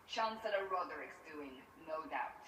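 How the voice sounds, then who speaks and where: Resolute warrior leader, fantasy game, fairly low voice, formal, authoritative, echoey capture. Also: female voice, animated, moderate pace. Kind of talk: dramatic video game dialogue. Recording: roomy, distant, noisy game capture.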